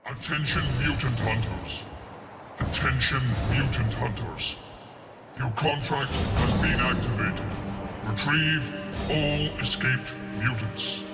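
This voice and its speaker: A distant, echoing commanding dispatcher. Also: male voice, medium pitch, takes it slowly. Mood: stern. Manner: formal, clipped announcing. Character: impersonal, commanding male dispatcher of mutant hunters. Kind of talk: video game announcement acting. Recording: echoey, distant, loud music over it.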